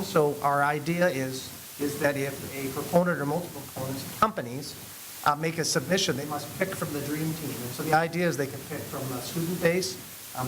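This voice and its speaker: A man, a city IT director, explaining step by step on a panel. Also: on the high side. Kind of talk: panel discussion. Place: university event hall. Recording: echoey room with hiss.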